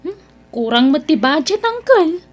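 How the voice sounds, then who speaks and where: A proud young woman in an audio drama, with a high voice and an Ilocano Filipino accent. She sounds whiny and haughty, a reluctant worker who thinks herself above others. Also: big pitch swings, unhurried, faint music behind. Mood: hesitant, pleading. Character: proud young niece Mira, reluctant new restaurant dishwasher, complaining daughter.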